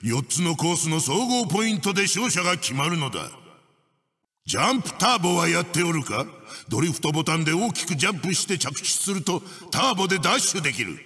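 A man's voice: on the high side, very animated, quick pace, sharp tone, slightly rough, long reverb tail, heavily acted. A man playing an evil wooden mask spirit in a video game, commanding and pompous as he barks out racing instructions. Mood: instructing, bossy. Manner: commanding, archaic pompous phrasing. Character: evil wooden witch-doctor mask spirit, bossy and menacing.

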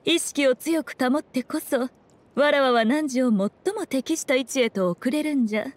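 High-voiced regal fox priestess. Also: female voice, slight background noise. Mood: solemn, composed. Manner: archaic, haughty elder-like speech, composed. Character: sly, regal female fox shrine priestess spirit. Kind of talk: anime video game acting. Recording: music behind the voice.

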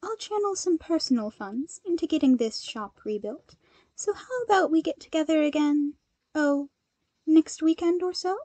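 A woman's voice: sits high, lively intonation, moderate pace, mellow, dry recording, voice pushed up. A woman voicing a poised, friendly and serene young woman hero, polite and composed.

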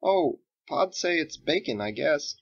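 A man's voice: fairly high pitch, animated, unhurried, creaky, dull audio, voice pushed up, exaggerated voice. A man voicing a young farm boy in a storybook, earnest and wary, with a hesitant, naive, plain-spoken manner. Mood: unsure.

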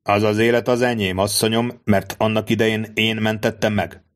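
Audiobook: man reading a courteous count, low-ish voice, calm, formal, unhurried. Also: close to an everyday voice. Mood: composed. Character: composed, courteous nobleman count, formal and measured. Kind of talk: audiobook reading of a classic novel.

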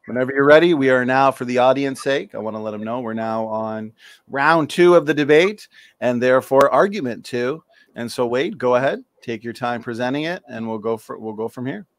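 A man's voice: mid-range voice, brisk, dry recording. A man in his thirties moderating a live debate in a polite, orderly way. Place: indoor home office, on a video call.

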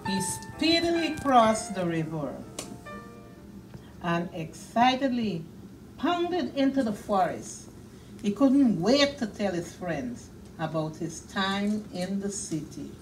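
Middle-aged female picture-book reader: animated intonation, deliberate and expressive, recorded from a few feet away with music behind. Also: medium pitch, far from the mic, poor audio. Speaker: children's storyteller. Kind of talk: picture-book read-aloud for young children. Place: small indoor children's reading room.

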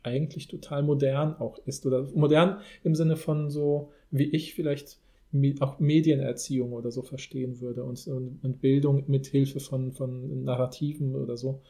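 A man's voice: medium pitch, flat delivery, normal speed, mellow. A man hosting a casual analytical podcast discussion, thinking aloud in long, winding sentences. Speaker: podcast host.

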